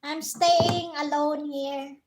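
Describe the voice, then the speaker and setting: A woman in her forties with a Filipino accent, chatting on a live stream. Her voice sits high and she talks slowly and unhurriedly. She keeps asking her viewer questions and laughs often, over a faint hiss. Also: fairly even intonation. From Philippines. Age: 40s.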